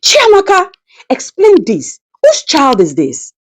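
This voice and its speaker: A woman's voice: high-pitched, huge pitch swings, slow, pitched above the performer's own voice, heavily acted. A woman voicing a betrayed, angry cheating husband in a folktale, indignant and accusing.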